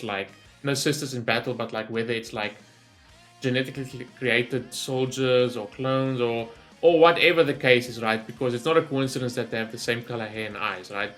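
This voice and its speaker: A man's voice: mid-range voice, fairly bright tone. A man hosting a gaming reaction video, talking casually off the cuff, thinking aloud and often checking himself with a tagged-on question. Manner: rambling, thinks aloud, often tags sentences with "right?".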